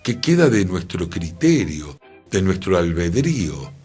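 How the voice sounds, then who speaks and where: A man with a Buenos Aires accent talking reflectively on a radio culture show, deliberate and opinionated. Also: low voice, very animated, darkish tone, breathy edge, faint music behind. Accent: Buenos Aires Argentine.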